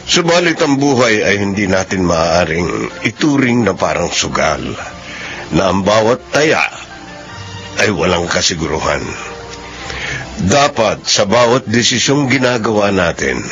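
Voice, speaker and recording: A man's voice: on the low side, sing-song, a little slow, fairly bright tone, soft background music. A man giving scripted radio drama narration. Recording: dull radio broadcast recording.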